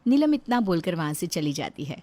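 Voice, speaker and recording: A woman's voice: lowish voice, very animated, fairly quick, dark tone, no echo. A woman storyteller reading a scripted narration for a moral family story.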